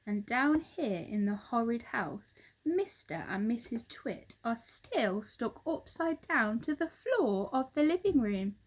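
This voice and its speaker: A woman narrates a children's story aloud. Her voice is high and very animated in pitch. She takes her time, giving each phrase expression. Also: takes it slowly.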